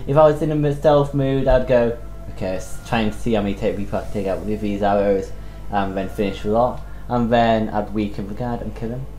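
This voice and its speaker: A man's voice: on the low side, steady tone, a little fast, hardly any pauses, dark tone. A man co-hosting a casual gaming chat, joking and swearing as he reads out viewer questions. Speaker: co-host. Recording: echoey room with faint hum.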